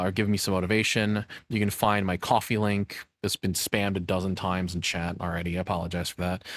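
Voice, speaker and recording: A man chatting casually as a livestreamer, rambling and excitable, with informal speech and mild swearing. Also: fairly low pitch, no room sound, clean studio sound. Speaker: livestreamer and audio roleplay voice actor.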